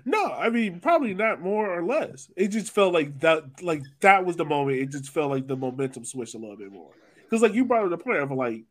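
A man hosting a sports podcast, talking soccer casually in an animated, informal way with jokey asides. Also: a little high, brisk. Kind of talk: casual soccer chat and analysis.

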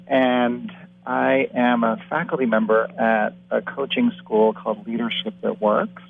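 A man in his forties with a gravelly edge talks in a measured, reflective way, filling gaps with ums. The sound is dry and thin, carried over a phone line. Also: mid-range voice, no echo. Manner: thoughtful, frequent ums. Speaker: coach educator. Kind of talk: panel self-introduction. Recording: tinny phone-line audio.

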